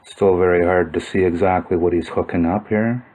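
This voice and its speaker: A man with a low-pitched voice narrates a video in an informal, explanatory style. He muses as he goes, thinking aloud as he works through what he is examining. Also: fairly even intonation, normal speed, a little mellow, poor audio. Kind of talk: informal explanatory commentary over old photos.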